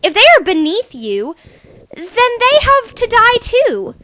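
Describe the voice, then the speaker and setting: A woman's voice: extremely high pitch, big pitch swings, takes it slowly, a bit bright, no room sound, heavily acted. A woman voicing a bubbly young alien sea girl who scolds and teases emphatically, in a character reel for a webcomic. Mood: earnest scolding. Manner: exclamatory, teasing, emphatic.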